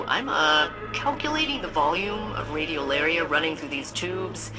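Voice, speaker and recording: A woman voicing a chatty female ship AI that mixes deadpan precision with casual asides, in video game dialogue. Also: mid-range voice, low-quality recording. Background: game score music.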